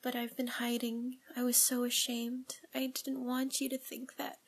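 A woman voices a nervous young woman pouring out a confession to her priest in a high, airy, whispering voice. She talks slowly, with halting restarts and a tearful, pleading edge. The breathy hush stays close and soft throughout. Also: completely flat delivery, exaggerated voice. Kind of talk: whispered audio roleplay monologue.

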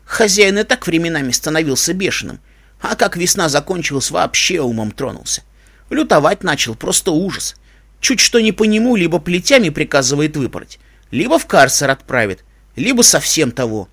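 A man's voice: fairly high pitch, very animated, normal speed, fairly bright tone, breathy edge, dry recording, full character voice. Man playing a grim old grandfather pony. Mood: grim, weary. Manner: colloquial, grim, emphatic. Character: old male pony, weary, grave village grandfather.

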